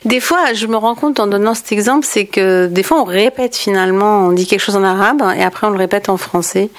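A woman's voice: medium pitch, fairly quick, dry recording. Woman from Algeria, interview guest, unaccented French, reflective and hesitant, dry and close. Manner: explanatory, thoughtful, frequent hesitations. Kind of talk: conversational interview answers.

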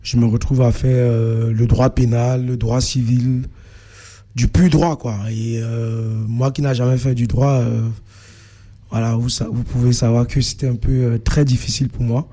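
A young man with a Cameroonian accent tells his own story as an interview guest. His voice is very soft-edged and dark, with little movement in pitch. He talks haltingly, filling the gaps as he searches for words. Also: flat delivery. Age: young adult. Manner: hesitant, halting delivery with frequent filled pauses. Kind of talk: personal account in an interview.

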